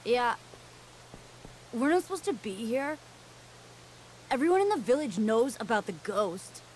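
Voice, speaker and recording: A woman's voice: fairly high pitch, expressive, unhurried, darkish tone, a little hiss or hum, rough recording. A woman voicing a timid but eager little village boy in a video game. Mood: nervous.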